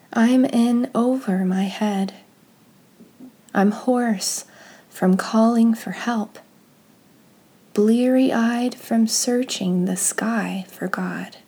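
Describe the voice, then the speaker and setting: A woman with a soft, breathy, husky voice reads scripture and leads guided prayer in a hushed, unhurried, meditative way. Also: a little high.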